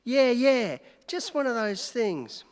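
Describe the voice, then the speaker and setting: The sound is a reverberant hall. A man acts out an excitable, brash reporter from an old radio drama, with pitch that swings widely as he talks. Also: slow, clear, resonant tone, exaggerated voice. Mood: brash, bossy. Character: brash, excitable male New York newspaper reporter. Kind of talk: old-time radio play acting. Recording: echoey hall, long reverb.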